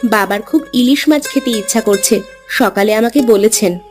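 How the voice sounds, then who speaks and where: A woman's voice: on the high side, normal speed, darkish tone, faint music behind, close to an everyday voice. A woman voicing a devoted, cheerful village wife in a cartoon, speaking attentively and with care. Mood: caring. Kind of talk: cartoon dialogue.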